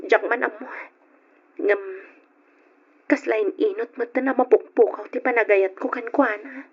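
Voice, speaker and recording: A woman's voice: a little high, fairly even intonation, unhurried, husky. A woman playing a troubled, hesitant young woman hiding her feelings, subdued and sighing, in an old Ilocano radio drama. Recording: dull lo-fi old radio recording.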